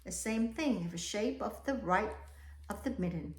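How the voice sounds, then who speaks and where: A woman reading a children's picture book aloud expressively, often pausing to ask her young listeners questions. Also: medium pitch, expressive, a little mellow. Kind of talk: children's read-aloud, alternating slow reading with asides about the pictures. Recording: echoey, roomy sound.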